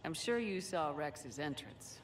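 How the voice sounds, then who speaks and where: A woman playing a steady, direct human military commander in a video game, asking questions in a businesslike way. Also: sits low, measured pace. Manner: businesslike, probing. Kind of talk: video game cutscene acting. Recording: slightly distant, faintly noisy game capture.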